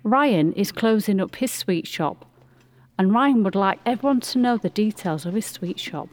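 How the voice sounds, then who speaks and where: A woman's voice: medium pitch, animated, moderate pace. A woman reading scripted storybook-style narration as the narrator of a community radio play. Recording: music playing behind the voice. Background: music.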